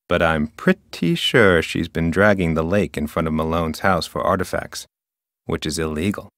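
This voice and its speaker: Pompous park ranger, widely swooping intonation. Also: male voice, low voice, a bit bright, no room sound, clean studio sound. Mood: guarded, indignant. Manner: formal, pompous, self-important. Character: officious, dutiful, touchy park ranger and local history curator. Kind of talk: video game character dialogue.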